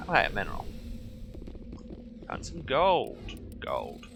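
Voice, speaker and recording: A man's voice: mid-range voice, wildly swooping pitch, takes it slowly, gravelly. A man giving casual video game playthrough commentary with dry, wry, self-mocking humour. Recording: loud game noise behind voice. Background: video game sound, vehicle noise.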